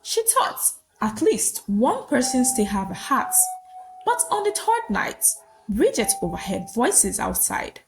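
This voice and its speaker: Female folktale narrator, solemn and suspenseful, unhurried, with highly animated intonation over faint music. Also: vocal fry, rough recording. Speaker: storyteller. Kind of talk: dramatic scripted folktale narration.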